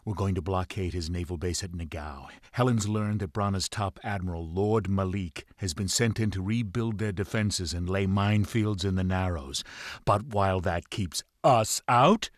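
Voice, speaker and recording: An actor playing a stern, fierce lord of a pirate clan in a video game, speaking with declamatory authority. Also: male voice, deep voice, normal speed, no echo, clean studio sound, natural voice. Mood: determined. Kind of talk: video game cutscene acting.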